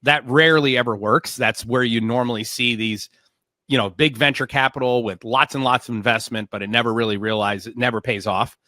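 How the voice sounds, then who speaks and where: Guy hosting a tech chat, bright punchy voice, dry sound, keeps restarting his words. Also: medium pitch, fairly even intonation, a little fast, no echo. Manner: emphatic, repeats words when restarting. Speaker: podcast host. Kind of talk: casual interview.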